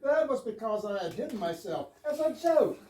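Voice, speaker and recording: A woman's voice: fairly high pitch, very animated, normal speed, warm tone. A woman in an amateur stage reading, playing a polite, earnest fire chief in a hesitant, apologetic way. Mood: matter-of-fact. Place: echoey room at a live readers theater. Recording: echoey room, distant microphone.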